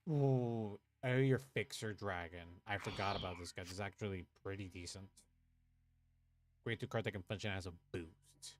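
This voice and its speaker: A man's voice: fairly low pitch, moderate pace. A young man from Puerto Rico, chatting casually on a livestream while he plays a card game, thinking aloud, swearing and muttering to himself. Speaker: streamer playing an online card game. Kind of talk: casual livestream chat and play commentary.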